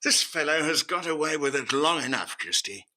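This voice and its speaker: An actor playing a pompous, impatient upper-class customs chief in an old-time radio drama, blustering and demanding. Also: male voice, fairly high pitch, lively intonation, normal speed, bright, forceful tone. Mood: indignant, demanding.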